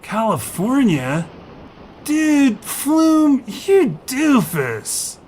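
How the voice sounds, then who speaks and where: An excitable young surfer dude from space in an audio fiction story. The voice sits high and swings widely, with drawn-out emphasis and exclamatory slang. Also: male voice, a little hiss or hum, heavily acted. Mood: incredulous. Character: excitable young alien surfer dude, slug in human guise. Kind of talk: audio fiction character acting.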